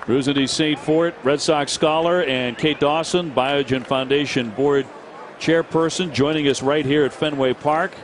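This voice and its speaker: A man's voice: fairly high pitch, steady tone, a little hiss or hum. A man calling a baseball game as play-by-play announcer, chatting with his partner and reading promos. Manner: brisk, conversational, reads promos. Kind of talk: baseball broadcast commentary. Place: ballpark broadcast booth.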